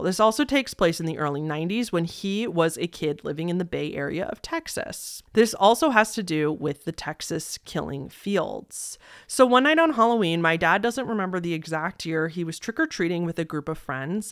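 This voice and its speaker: A young woman speaks in a slightly low voice, close to the microphone in a dry-sounding room. She has the casual, expressive delivery of an online host reading stories aloud and chatting about them. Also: dry recording. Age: young adult. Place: small decorated indoor room. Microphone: close desk microphone.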